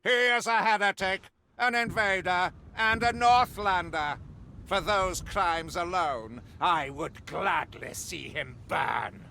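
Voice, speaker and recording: A man's voice: high-pitched, takes it slowly, creaky, bright, forceful tone, a little hiss or hum, full character voice. A male actor playing a stern, fanatical witch hunter in a video game, declaiming in pompous, archaic, theatrical speech. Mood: fervent, righteous.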